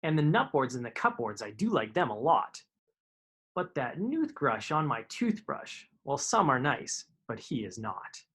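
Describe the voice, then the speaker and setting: A man's voice: mid-range voice, very animated, a little slow, a bit bright, slightly rough. A man reading children's picture books aloud in a live storytime, with informal asides to his young listeners.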